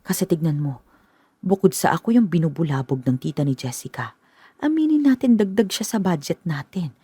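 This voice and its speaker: A woman's voice: lowish voice, sing-song, moderate pace, warm tone, breathy edge, no echo. An actress playing a tired middle-aged mother who complains about an extra person in the house, in a radio drama. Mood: complaining. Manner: complaining, weary. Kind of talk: Filipino radio drama acting.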